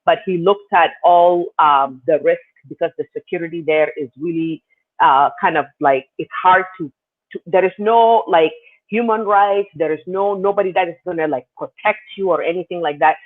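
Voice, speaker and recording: A man's voice: sits high, moderate pace. Man from South Sudan on a phone line. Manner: loose, meandering storytelling with many fillers. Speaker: guest. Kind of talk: casual interview answers. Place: living in Canada.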